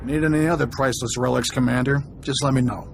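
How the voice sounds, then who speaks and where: A man's voice: medium pitch, steady tone, fast, vocal fry, lightly acted. A male actor playing a smug, roguish thief in a sci-fi video game, glib and sarcastic, with noisy ambience behind him. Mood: smug, mocking. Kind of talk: video game cutscene acting.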